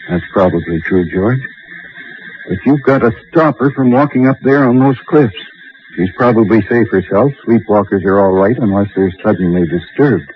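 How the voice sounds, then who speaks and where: A man acting as a grave expedition doctor in a radio drama, speaking in a deliberate, explanatory way. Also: on the low side, lightly acted. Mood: grave, insistent. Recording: dull lo-fi radio recording, faint noise.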